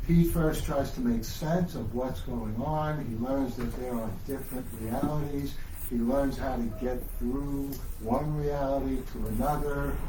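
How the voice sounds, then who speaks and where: A man's voice: medium pitch, dark tone. A man speaking as an author and host, giving an informal, enthusiastic and digressive introduction to a live audience. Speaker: author hosting a live book reading. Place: indoors in a bookstore. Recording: distant mic, noisy echoey room.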